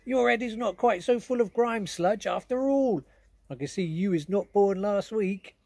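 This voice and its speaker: A man voicing a big, gentle male giant who mangles his grammar and invents words, in a storybook reading. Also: expressive, normal speed, dry recording. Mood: cheerful. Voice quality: booming, mangles words playfully. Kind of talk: audiobook character voice.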